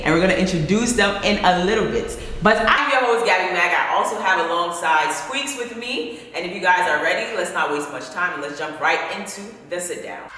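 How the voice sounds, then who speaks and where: A woman host with a bright, forceful voice keeps things upbeat and joking as she runs the show. Her voice rings around a very echoey room with a long reverb. She speaks at an even middle pitch. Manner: upbeat show-opener, jokey. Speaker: podcast host.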